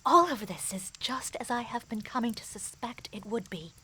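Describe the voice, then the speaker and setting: A woman playing a grave mystic advisor in a fantasy audio drama, speaking formally, in an earnest and measured way. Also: on the high side, animated, pushed and bright, breathy edge, full character voice, rough recording. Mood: hushed, pensive.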